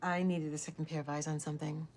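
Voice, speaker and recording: An actress playing a suspicious, secretive senior policewoman in a TV drama, measured, hushed and serious. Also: deep voice, level tone. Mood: secretive, grave.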